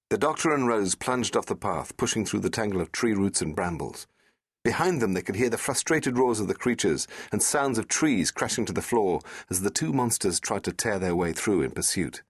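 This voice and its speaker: A man's voice: deep voice, husky, no room sound, clean studio sound. A man reading an audiobook in a dramatic, suspenseful storytelling style. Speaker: audiobook narrator. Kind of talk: dramatic scripted narration.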